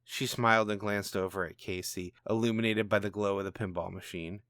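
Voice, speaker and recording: A man's voice: on the low side, steady tone, brisk, a bit bright, no echo, clean studio sound. A man reading scripted fiction and notes as author and narrator.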